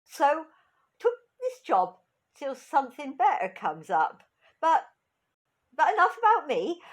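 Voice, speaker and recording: A jolly old nursery maid played by a woman in a comic pantomime, high and wildly animated. She delivers her jokes slowly and theatrically, with rustic turns of phrase, over a home video call.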